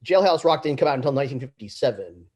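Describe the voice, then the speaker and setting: A man's voice: mid-range voice, animated, quick pace, darkish tone, husky, muffled recording. A man giving matter-of-fact, corrective notes in a casual group chat.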